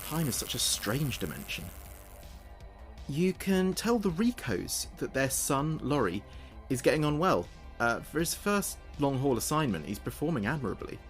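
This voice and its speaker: British male actor as earnest starship comms officer, sci-fi audio drama. Measured, precise delivery, medium pitch, faint music behind. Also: sing-song, natural voice. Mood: affectionate. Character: earnest male spaceship communications officer recording logs.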